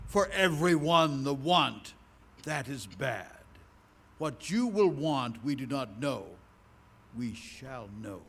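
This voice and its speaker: A man's voice: mid-range voice, sing-song, gravelly, a bit bright, breathy edge, full character voice. A man playing a solemn, commanding beast-man lawgiver, declaiming ritual words in a live radio drama. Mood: solemn, menacing. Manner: slow ritual chanting, grandiose, declamatory. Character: solemn, commanding beast-man priest who chants the law. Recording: live hall recording, faint noise.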